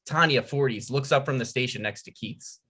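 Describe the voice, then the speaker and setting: A man's voice: mid-range voice, fairly quick, sharp tone, husky. A man narrating a script's stage directions at a table read.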